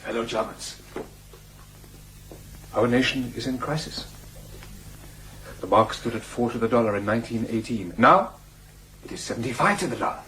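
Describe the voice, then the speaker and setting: An actor playing a fanatical nationalist demagogue and ex-soldier, declaiming at a meeting in a radio drama. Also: male voice, medium pitch, noisy background, lightly acted. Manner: declamatory, builds to shouting. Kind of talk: radio drama political oratory.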